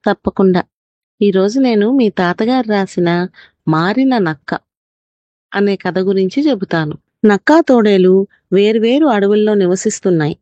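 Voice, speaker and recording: A woman's voice: medium pitch, warm tone, no echo, highs cut off. A woman telling a scripted children's story, as a mother would to her child.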